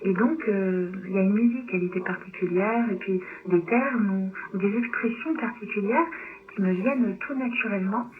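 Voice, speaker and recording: Tinny radio, hesitant native-Romanian woman. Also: moderate pace. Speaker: novelist, radio guest. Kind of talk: reflective interview conversation. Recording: tinny low-bitrate shortwave radio, clear noise.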